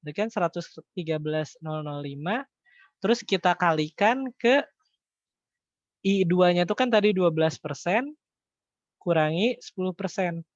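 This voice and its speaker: A man teaching an informal online lesson, thinking aloud through figures in a halting way and checking with his listeners. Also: high voice, lively intonation. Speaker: accounting tutor. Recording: echoey room, dull lo-fi online-call audio.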